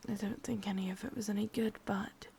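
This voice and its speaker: A woman playing a sadistic, possessive, lovesick witch in a whispered ASMR roleplay, speaking intimately in an English accent with a breathy, husky voice. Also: mid-range voice, steady tone, darkish tone, slight background noise, close to an everyday voice. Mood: wistful. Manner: slow, intimate, menacingly tender.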